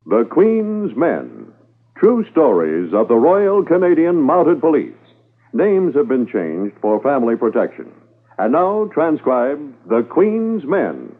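A man's voice: medium pitch, huge pitch swings, phone-quality sound, full character voice. A man playing the stately, proclaiming announcer of a 1950s true-crime police radio series. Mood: dramatic, proclaiming. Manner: proclaiming, deliberate. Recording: thin 1950s radio recording with music.

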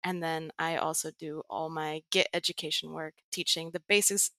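American-accented woman on a podcast, calm explainer, lots of ums, dry close mic. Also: deep voice, no echo. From Greece. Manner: explanatory, measured, with frequent fillers. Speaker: guest, tech writer and educator. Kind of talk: podcast interview.

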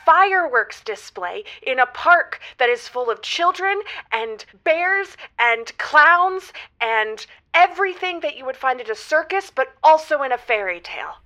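Actress as guileless female caller, eager, bright lively voice, fairly high. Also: measured pace, few pauses, heavily acted. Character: earnest female caller planning over-the-top romantic gestures. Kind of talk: semi-improvised audio drama.

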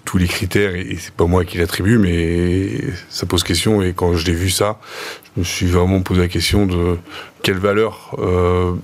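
A man's voice: low-pitched, little pitch movement, moderate pace. A man giving spontaneous opinions as an interview guest, hesitant and repeating words as he forms his thoughts.

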